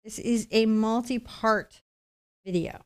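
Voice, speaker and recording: A woman's voice: fairly high pitch, steady tone, slow pace. A middle-aged woman giving a conversational step-by-step painting lesson, chatty and explanatory with reassuring asides. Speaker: painting instructor, host.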